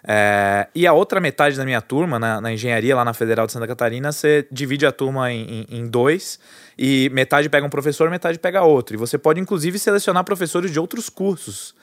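A Brazilian man in his thirties, a guest entrepreneur telling his story in a relaxed, chatty way, with lots of fillers and restarts. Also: mid-range voice, a little fast, no echo, clean studio sound. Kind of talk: casual storytelling interview.

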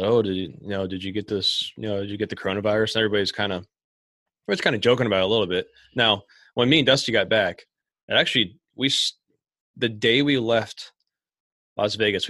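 A man's voice: on the low side, sharp tone, no echo, studio quality. A man from Ohio who runs a small business, talking casually and rambling with lots of restarts and fillers. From Ohio, USA. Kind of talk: casual conversation.

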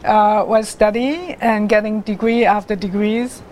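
A middle-aged woman, telling her life story as a guest artist in an interview, haltingly, with many restarts and pauses. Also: medium pitch, steady tone, a little mellow, a little hiss or hum, rough recording. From Hong Kong.

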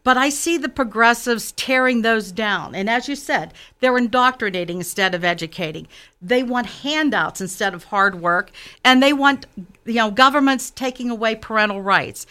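A middle-aged woman from Western Pennsylvania, a political candidate answering interview questions earnestly, often pausing and restarting. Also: on the high side, pushed and bright. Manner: earnest, halting with fillers and restarts.

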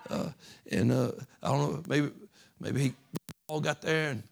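A man preaching a sermon as pastor, folksy and repetitive, building from soft talk to loud fervent preaching. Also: mid-range voice, a little slow, creaky. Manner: folksy, repeats phrases.

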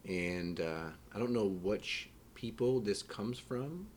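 A man's voice: on the low side, expressive, slow pace, a little mellow, slight background noise. A man in casual conversation as a host, speaking in a laid-back, slightly hesitant and agreeable way. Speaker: podcast host.

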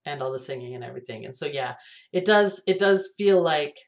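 Relaxed, laughing podcast co-host. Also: medium pitch, a little fast. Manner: relaxed and agreeable, laughs often. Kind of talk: casual chat reviewing old cartoons.

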